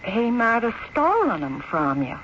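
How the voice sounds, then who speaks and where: A woman's voice: a little high, wildly swooping pitch, measured pace, fairly bright tone, heavily acted. An actress playing a motherly, admiring frontier woman with an American Western drawl, chatty and coaxing, in an old radio drama. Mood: knowing, chatty. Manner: folksy, chatty, coaxing, rustic grammar. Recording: dull, noisy old radio broadcast.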